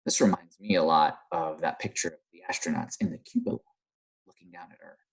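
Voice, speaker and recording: A man teaching young children through an expressive read-aloud, pausing to explain and ask encouraging guiding questions. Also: deep voice, vocal fry, husky, rough recording. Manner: animated, encouraging, explanatory, pauses to question listeners. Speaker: primary school teacher reading aloud.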